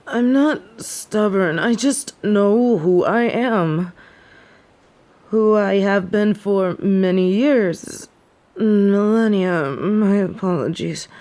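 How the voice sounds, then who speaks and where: Woman acting a slow-spoken, haughty sick angel. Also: mid-range voice, darkish tone. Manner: haughty, formal, archaic phrasing. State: sick with a head cold, sore throat. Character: snobby haughty fallen angel of judgment, sick with a cold. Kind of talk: dramatic audio roleplay.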